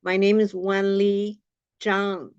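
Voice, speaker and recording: A middle-aged woman from China with a Chinese accent, introducing herself informally and haltingly as an artist on an online call. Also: medium pitch, little pitch movement, darkish tone, smooth, no room sound. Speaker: artist and art teacher. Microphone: online video call. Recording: dull online call audio.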